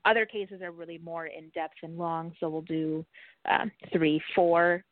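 A middle-aged woman talks casually as an interview guest, her voice a little on the low side. The sound is dry and close, with the thin, muffled band of a call. She comes across as a podcaster describing her work. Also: steady tone, measured pace, no room sound. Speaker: podcast co-host and nursing teacher, interview guest.

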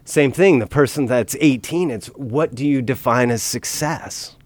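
A man's voice: medium pitch, a little slow, dry recording. A middle-aged man in casual podcast talk, earnest and emphatic, pressing his points with conviction.

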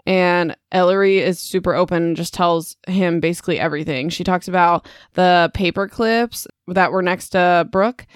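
A woman's voice: fairly low pitch, normal speed, few pauses, clear, resonant tone, no room sound, clean studio sound. A young adult woman hosting a podcast, recapping a novel in a chatty, rambling way with self-deprecating asides. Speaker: podcast host. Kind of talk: casual book recap podcast.

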